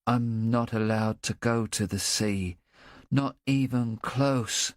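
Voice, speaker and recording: A man voicing an anxious, simple-minded young boy in an audiobook, talking in a halting, earnest way. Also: medium pitch, no room sound, studio quality, voice pushed up, lightly acted. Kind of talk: audiobook character voice.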